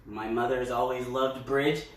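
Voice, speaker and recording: A woman's voice: very deep voice, steady tone, normal speed. A woman acting out a theatrical monologue as an exasperated, frazzled groom, agitated, emphatic and rambling. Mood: fretful. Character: exasperated, frazzled groom recounting wedding family squabble. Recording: roomy echo, distant microphone.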